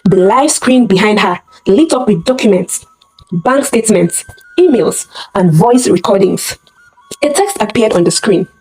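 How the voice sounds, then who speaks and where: A woman's voice: mid-range voice, expressive, a little slow, a little mellow, husky, faint music behind, low-quality recording. A woman telling a scripted dramatic story as narrator, theatrical and suspenseful, in short punchy phrases.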